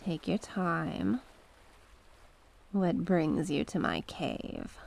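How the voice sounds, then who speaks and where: Mysterious sea witch, played by a woman, ASMR roleplay. Slightly low, very slow delivery; genteel, sly, coaxing. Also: big pitch swings, gravelly, darkish tone, full character voice. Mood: gently probing. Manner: coaxing, genteel, terms of endearment.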